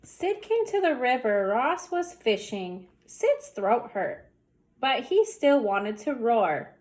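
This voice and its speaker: High-voiced woman reading a bedtime story slowly. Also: big pitch swings, darkish tone. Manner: slow, deliberate, theatrical emphasis on action words. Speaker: storyteller reading a children's book. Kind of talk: expressive bedtime story read-aloud.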